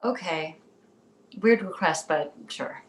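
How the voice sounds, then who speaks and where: A woman playing an exasperated, blunt young mother with a sardonic edge in an animated comedy read. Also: low-pitched, takes it slowly, echoey room, full character voice. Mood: softened.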